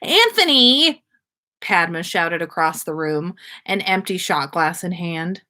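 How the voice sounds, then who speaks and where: A woman hosting a livestream, reading fan fiction aloud in an expressive way, stretching words for emphasis. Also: on the low side, measured pace. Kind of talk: animated story reading on a livestream.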